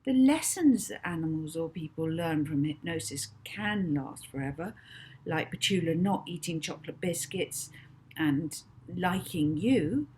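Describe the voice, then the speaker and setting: A woman's voice: deep voice, expressive, unhurried, a little mellow, a little hiss or hum. A woman voicing a young boy in a children's audiobook, a reassuring best friend who explains things insistently and matter-of-factly. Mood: surprised, reassuring. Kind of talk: children's audiobook character dialogue.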